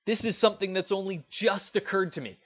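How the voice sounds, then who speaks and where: A man's voice: high voice, fairly quick, lo-fi sound. A man giving casual opinion commentary as a video host, emphatic and repeating phrases for stress.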